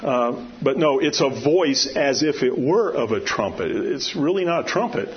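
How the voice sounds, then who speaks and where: A man with a loud, carrying voice teaching scripture as a preacher in an informal, conversational and emphatic way, often posing questions to his listeners. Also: medium pitch, animated, moderate pace. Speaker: preacher teaching a Bible study. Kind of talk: informal sermon with scripture readings and personal asides. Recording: dull lo-fi sound, clear background noise.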